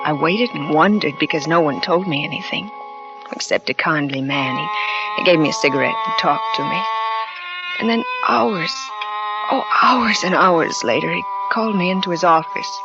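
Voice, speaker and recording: An anxious, devoted wife narrating in an old radio play, her voice rising and falling in wide swings, pleading and breathless. The broadcast sounds muffled, with clear music running beneath it. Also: fairly low pitch, lively intonation, moderate pace. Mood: anxious narration. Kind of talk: 1940s radio acting.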